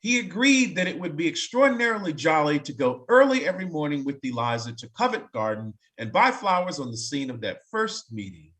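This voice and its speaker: Male narrator for a staged reading: slightly high voice, very animated intonation, expressive storytelling broken by dry conversational asides. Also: brisk, few pauses, low-quality recording. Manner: expressive, wry, breaking off to comment. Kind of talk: dramatic reading aloud with casual asides.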